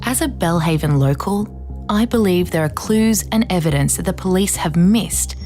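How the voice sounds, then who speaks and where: An actress playing an earnest, composed true crime podcast host, with measured scripted narration. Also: female voice, on the low side, lively intonation, warm tone, faint music behind, lightly acted.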